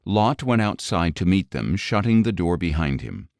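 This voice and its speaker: Deep-voiced man narrating scripture, very dry sound. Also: very animated, normal speed, darkish tone, dry recording. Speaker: audio Bible narrator.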